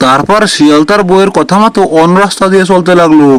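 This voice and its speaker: A man's voice: high-pitched, fast, a little hiss or hum. A man reading scripted story narration for a cartoon.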